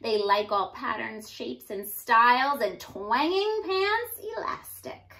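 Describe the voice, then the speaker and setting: A woman in her thirties giving an expressive storybook read-aloud for young children, like a teacher addressing her class. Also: a little high, takes it slowly. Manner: animated, addressing young children, sounding out rhymes. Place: small indoor room.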